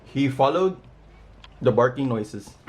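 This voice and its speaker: A man reading a children's storybook aloud in a deliberate way, pausing between short, simple phrases. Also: medium pitch, animated, a little hiss or hum. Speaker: storybook reader. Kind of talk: read-aloud of a children's picture book.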